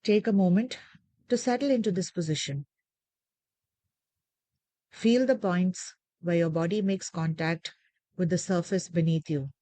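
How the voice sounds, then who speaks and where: A woman leading a guided meditation with gentle, measured, soothing instructions and deliberate pauses. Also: fairly low pitch, dry recording.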